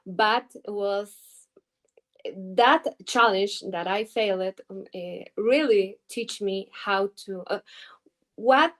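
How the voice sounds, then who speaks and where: A young woman from Ecuador speaks English slowly, with an Ecuadorian Spanish accent. She is a native Spanish speaker and hesitates often, filling pauses with "uh" and "um" as she hunts for the right word. She answers questions as an interview guest. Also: mid-range voice, fairly bright tone. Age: 20s. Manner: halting, searching for English words with many fillers. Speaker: guest trader. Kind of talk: interview answers over video call.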